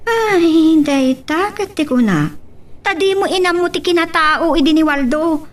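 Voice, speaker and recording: A woman's voice: extremely high pitch, lively intonation, unhurried. A woman playing a boastful, showy rich wife in an Ilocano audio drama, with an Ilocano accent. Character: boastful rich wife flaunting her wealth. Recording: distant mic, faint noise.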